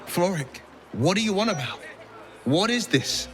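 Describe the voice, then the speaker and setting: A man's voice: high voice, lively intonation, measured pace, fairly bright tone. A man playing an earnest, gallant young nobleman adventurer in a fantasy video game, with a theatrical, florid way of speaking. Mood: alarmed, indignant. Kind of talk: dramatic video game cutscene acting. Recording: music behind the voice.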